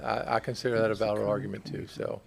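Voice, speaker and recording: An American man, a borough utility official, answering questions at a public meeting in a conversational, halting way, often repeating himself. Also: on the low side, flat delivery, gravelly, slight background noise. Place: public council meeting room.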